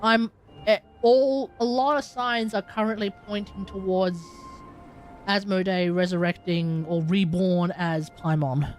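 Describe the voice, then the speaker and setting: A woman giving casual live reactions as a game streamer, musing in a halting, slangy way. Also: mid-range voice, steady tone. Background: game music.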